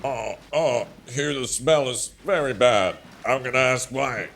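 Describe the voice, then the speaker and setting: A man plays a self-important puppet reporter from a comic TV news show. He reports in a formal, blunt, deadpan way with music behind him. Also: medium pitch, unhurried, lightly acted. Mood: deadpan, inquisitive.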